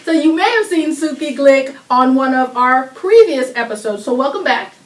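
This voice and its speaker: A woman's voice: high voice, lively intonation, normal speed, distant mic. A woman hosting a talk show, interviewing her guest in an upbeat, chatty way. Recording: roomy echo, voice from off mic.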